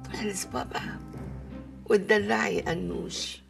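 A woman's voice: medium pitch, brisk, vocal fry, over music. An older woman with an Egyptian accent acting a bossy, cunning aunt in a TV comedy, drawling and coaxing theatrically. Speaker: actor in a TV comedy series. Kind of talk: comic TV drama dialogue. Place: living room set, indoors.

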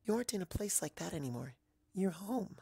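A shy young mare played by a man in a storybook reading. She is gentle and very quiet, her soft voice lifted high. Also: very animated, fairly quick, creaky, slightly rough, no echo, heavily acted. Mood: gentle, reassuring.

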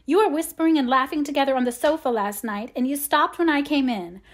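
A woman's voice: high-pitched, fairly quick, pitched above the performer's own voice, lightly acted. A woman voicing a young, stubborn, whiny little sister who coaxes and pleads in a storybook reading. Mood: accusing. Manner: coaxing, pleading, petulant.

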